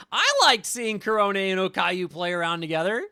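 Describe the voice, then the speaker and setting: Bright-voiced, animated livestreamer reading a game. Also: mid-range voice, moderate pace, smooth, dry recording, studio quality. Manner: playful, joking, often repeats phrases. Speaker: streamer playing a visual novel. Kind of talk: casual livestream chat and reading game text aloud. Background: game music.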